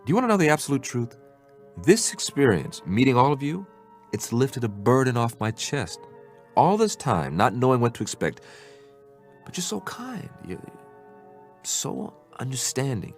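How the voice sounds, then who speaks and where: A man with an American accent acting an eager, naive scientist in a radio drama, speaking in a halting, excitable, stammering way. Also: mid-range voice, soft background music. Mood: nervous, grateful. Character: eager, naive male American scientist stranded on another planet.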